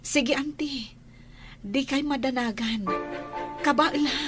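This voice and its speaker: A woman's voice: high-pitched, takes it slowly, over music. A woman acting in a radio drama as an anxious but determined young pregnant wife. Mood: determined, reassuring.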